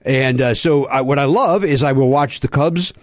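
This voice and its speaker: A man hosting a casual comedy podcast in a studio, his voice slightly gravelly from illness, teasing and bantering. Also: medium pitch, moderate pace, no echo. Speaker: podcast host.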